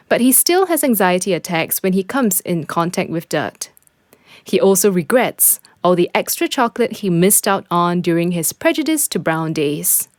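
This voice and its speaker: A woman's voice: fairly low pitch, no echo, clean studio sound. A woman reading a scripted personal reflection as a podcast host.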